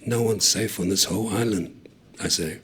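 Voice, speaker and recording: A man reading a short story aloud, acting out the voices of the characters, loud on some lines and hushed on others. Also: fairly low pitch, moderate pace, husky. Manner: lively, acts out the dialogue, shouting some lines and dropping to a hush on others.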